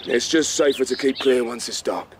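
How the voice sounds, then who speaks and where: An actor playing a bold, gruff rabbit, the brash fighter of his group, in a radio drama. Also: mid-range voice, normal speed, slight background noise, natural voice. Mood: cocky, reassuring. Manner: brash, colloquial, cocky.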